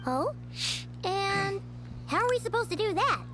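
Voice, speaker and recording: A woman voicing a small, chatty, childlike fairy companion in a video game, with a squeaky, chirpy delivery. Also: sing-song, measured pace, noisy background, exaggerated voice. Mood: mildly exasperated.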